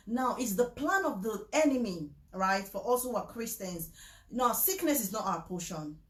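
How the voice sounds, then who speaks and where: A woman, talking casually to the camera, mixing a sales pitch with preaching, emphatic and repetitive, with frequent laughter. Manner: chatty, emphatic, repeats herself, laughs often. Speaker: vlogger promoting supplements. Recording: echoey room.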